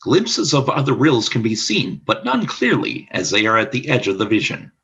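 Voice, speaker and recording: A man's voice: mid-range voice, big pitch swings, moderate pace, creaky, fairly bright tone. A man reading scripted narration for a radio play, describing the action in the present tense.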